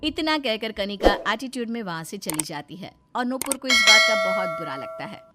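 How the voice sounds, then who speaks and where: A woman's voice: mid-range voice, expressive, normal speed, very noisy. A woman narrating a scripted cartoon family story as storyteller.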